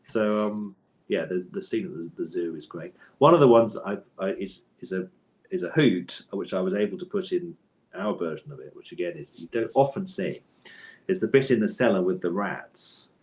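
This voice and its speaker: A man's voice: deep voice, moderate pace, darkish tone. A man answering interview questions conversationally as a guest author, with a thoughtful, hesitant manner and bookish phrasing.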